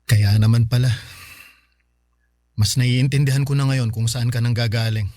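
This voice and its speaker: An actor playing a young, thrifty, cautious boyfriend in a Filipino radio drama, earnest and gently questioning. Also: male voice, mid-range voice, fairly even intonation, normal speed, no echo, clean studio sound, lightly acted. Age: young adult.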